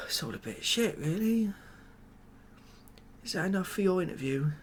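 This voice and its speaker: A British man doing a soft-spoken ASMR comedy roleplay as a glum, overwhelmed ex-builder, rambling along in a hesitant, deadpan way. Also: high-pitched, unhurried, slight background noise. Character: glum, overwhelmed ex-builder made president of the world.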